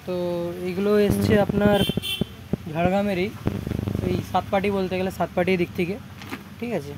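A man's voice: high voice, level tone, moderate pace. A young man with a West Bengal Bengali accent, talking informally and repetitively in a casual showcase vlog on a handheld phone in a repair shop. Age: young adult. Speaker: electronics repair shop vlogger. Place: cluttered indoor repair shop. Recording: noisy handheld phone recording.

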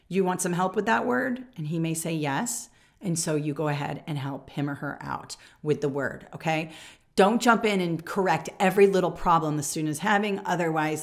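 An American woman in her forties teaching in a conversational, emphatic way, often checking in with the listener as she demonstrates a classroom lesson. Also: fairly low pitch. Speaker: teacher and teacher trainer. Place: small furnished room indoors.

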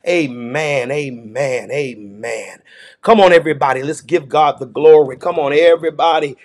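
A man's voice: fairly high pitch, moderate pace. A middle-aged man with a Southern American accent, a pastor leading closing prayer and announcements with fervent, exclamatory praise, recorded close on a webcam in a small home studio. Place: small indoor home studio before a green screen.

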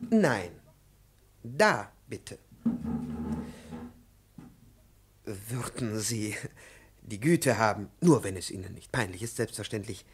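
An actor in a 1950s radio play playing a fussy, excitable aging professor, over-polite and halting, then imperious. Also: male voice, on the low side, a little hiss or hum. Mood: nervously courteous. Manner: obsequious and halting, turning imperious. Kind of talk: 1950s German-language radio play acting.

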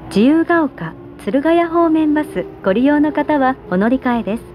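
A woman giving scripted subway announcements in a polite, measured, carefully enunciated way, over a train PA. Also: high voice, expressive, a little mellow. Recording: train PA with vehicle noise.

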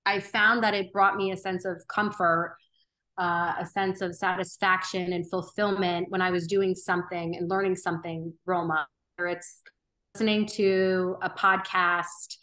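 Woman, 30s, interview guest; bright tone, earnest, unhurried, thinking aloud. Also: on the low side, little pitch movement. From Romania. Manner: earnest, thoughtful, frequent ums and restarts. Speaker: podcast guest. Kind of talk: reflective interview conversation.

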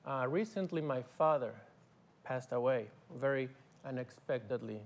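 A middle-aged man from Argentina, a guest musician, talking in a halting, casual way with fillers and restarts in a TV studio on a lapel mic. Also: medium pitch. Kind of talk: casual interview talk. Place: TV studio set.

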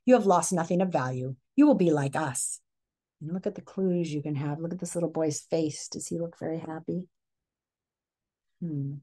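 A middle-aged woman giving a read-aloud lesson as a teacher, speaking with deliberate storytelling delivery and pausing to pose questions. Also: deep voice, warm tone. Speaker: teacher reading a picture book aloud. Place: small furnished living room or hotel room, indoors.

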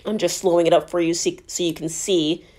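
A woman's voice: lowish voice, fairly even intonation, fairly quick, darkish tone. A woman narrating a workout as a fitness instructor, explaining each move in an encouraging, instructional way.

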